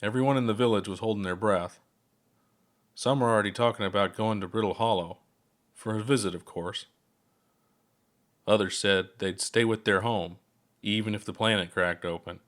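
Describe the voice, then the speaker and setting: A man's voice: fairly low pitch, fairly even intonation, moderate pace, long pauses. A man narrating his own written story in a scripted audiobook style. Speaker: author reading own story.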